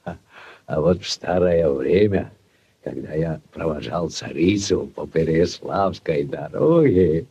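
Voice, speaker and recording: A gruff, self-important village headman in a vintage radio drama. He speaks deep and slowly, with pompous weight. The recording is dull and slightly noisy. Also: lively intonation, mellow, exaggerated voice. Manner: ponderous, pompous. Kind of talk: 1940s Soviet radio play acting.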